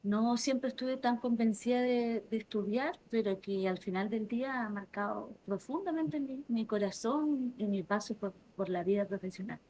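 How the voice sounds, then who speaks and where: A woman in her forties with a Chilean accent, speaking softly and reflectively as an interview guest, often restarting her phrases. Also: a little high, normal speed, hardly any pauses, rough recording. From southern Chile. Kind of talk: casual interview conversation.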